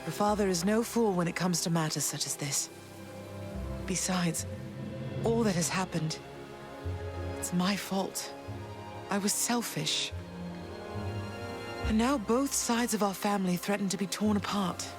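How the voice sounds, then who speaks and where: A woman plays a goddess mother in a fantasy game. She speaks in a medium-pitched voice, tender and formal with quiet sorrow, while music plays behind. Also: a little slow, pauses often, close to an everyday voice. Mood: tender, regretful. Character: gentle, sorrowful goddess mother, dignified and resolute. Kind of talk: dramatic video game acting.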